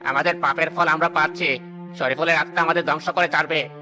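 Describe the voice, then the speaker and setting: A man's voice: on the high side, fairly even intonation, fast talker, music in the background, close to an everyday voice. A man playing a fearful, guilt-ridden murderer in a cartoon, desperate and pleading. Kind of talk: cartoon voice acting.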